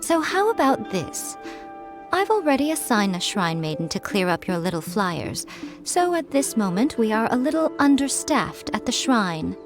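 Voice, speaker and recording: A woman's voice: a little high, big pitch swings, moderate pace, music in the background. A woman playing a sly, teasing, elegant fox-like shrine priestess in a video game, with coy, airy delivery. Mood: playful, sly. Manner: coy, airy, theatrically mock-hurt. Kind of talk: English video game voice acting.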